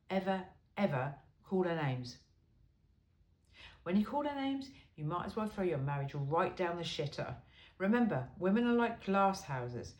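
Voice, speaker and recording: A woman's voice: mid-range voice, darkish tone. A woman reading a self-help book aloud in an informal, blunt and emphatic way.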